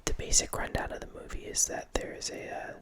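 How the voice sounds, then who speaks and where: A man in his thirties whispering a casual, rambling talk close to a studio mic in a small home room, often restarting his sentences. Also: brisk. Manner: rambling, self-correcting, casual. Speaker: host. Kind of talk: whispered ASMR horror film ramble. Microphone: studio mic close up, headphones.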